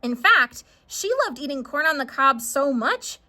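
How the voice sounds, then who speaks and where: A woman narrating a scripted children's story in a dramatic, expressive way. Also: high voice, a little slow.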